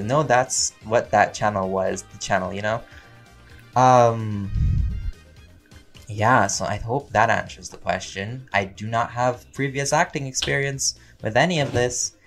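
A man chatting casually and playfully as he answers fan questions, rambling along in an expressive way. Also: mid-range voice. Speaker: voice actor and audio roleplay creator answering fan questions.

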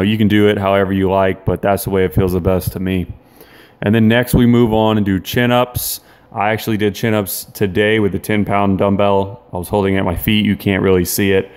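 A young man in his twenties, talking casually and quickly to the camera held at arm's length, vlogging about his workout. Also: fairly low pitch, darkish tone, smooth. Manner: quick, chatty, loose with fillers. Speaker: fitness vlogger. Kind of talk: casual vlog narration. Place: in a car, then gym voice-over, then kitchen.

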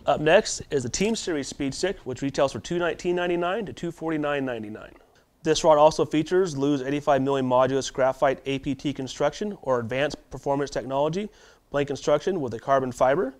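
A man in his thirties hosting a tackle shop video, running through product specs in a steady delivery with small restarts, in a warehouse storeroom. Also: fairly high pitch. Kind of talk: product rundown to camera. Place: warehouse storeroom with shelves of boxes.

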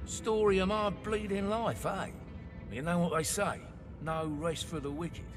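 A man's voice: high-pitched, steady tone, a little slow. A man with a British accent playing a chatty, cheeky working-class crewman in a sci-fi video game, chummy and colloquial. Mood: chummy, wry. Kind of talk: video game cutscene acting. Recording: music under the voice. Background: music.

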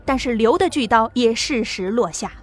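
A woman reading a science fiction novel aloud as an audiobook narrator, in a steady, vivid storytelling manner. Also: on the high side, moderate pace.

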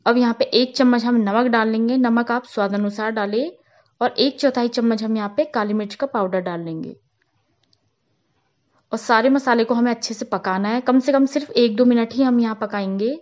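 Cooking channel host, woman, higher voice, calm step-by-step voice-over, slightly muffled lo-fi sound. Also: normal speed. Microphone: voice-over, not on camera.

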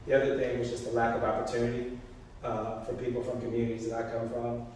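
A man's voice: mid-range voice, monotone, fast, a little mellow. A middle-aged man giving a casual, conversational introduction of himself as a candidate. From Oakland, California. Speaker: political candidate and business owner. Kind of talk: informal self-introduction speech. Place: small storefront meeting room, indoors. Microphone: distant camera mic. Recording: echoey room, far camera, noisy.

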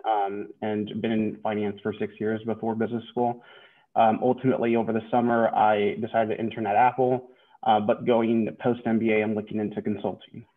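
A man of about thirty introducing himself as a student panelist over a thin video-call connection. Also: lowish voice. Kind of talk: self-introduction on a webinar. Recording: tinny, phone-like video-call audio.

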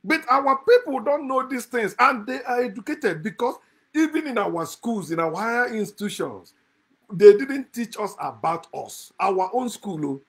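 A man's voice: extremely high pitch, animated, moderate pace, husky. A middle-aged man gives a fiery, scornful monologue to camera from a room indoors, chuckling between his points. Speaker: channel host. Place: indoor room, seated at a desk.

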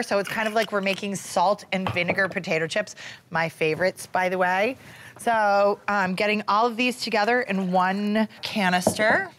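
A woman's voice: mid-range voice, fairly bright tone. Bubbly woman TV chef, playful repeats. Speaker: TV chef host. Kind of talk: upbeat cooking demonstration to camera. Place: indoor studio kitchen set.

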